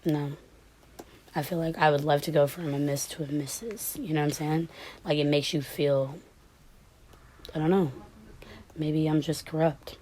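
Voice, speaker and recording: A woman's voice: low voice, fairly even intonation, normal speed, long pauses, a little mellow, a little hiss or hum, low-quality recording. A woman being interviewed, chatting casually in slangy, informal language. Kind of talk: casual chat.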